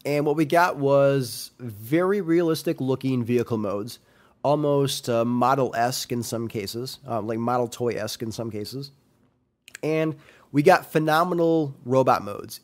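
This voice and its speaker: A young adult man, slightly nasal and congested from a sinus infection, hosting a casual solo podcast and rambling with many ums and restarts. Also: mid-range voice. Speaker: podcast host.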